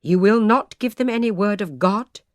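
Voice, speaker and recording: A woman's voice: medium pitch, moderate pace, dry recording, clean studio sound, lightly acted. A woman voicing a grave, severe old male parson who speaks in old-fashioned words.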